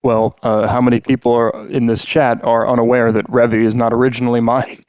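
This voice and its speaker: A man's voice: mid-range voice, flat delivery, fairly quick, darkish tone, clear, resonant tone, dry recording. A man co-hosting a podcast in casual chat, rambling and joking with lots of filler words and restarts. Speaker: podcast co-host.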